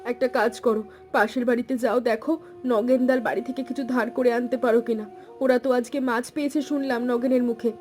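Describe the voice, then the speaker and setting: An insistent village wife acted by a woman in a cartoon tale. Her voice is somewhat high and very quick, practical and urging, with faint music behind. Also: steady tone, a little mellow, lightly acted. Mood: worried, practical. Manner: urging, matter-of-fact. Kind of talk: cartoon story dialogue.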